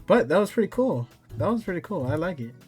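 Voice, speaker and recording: A man's voice: high voice, very animated, quick pace, darkish tone, faint music behind. A man talking informally and a bit ramblingly through a casual gameplay tutorial, often poking fun at himself. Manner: informal, rambling, self-deprecating. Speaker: tutorial video maker.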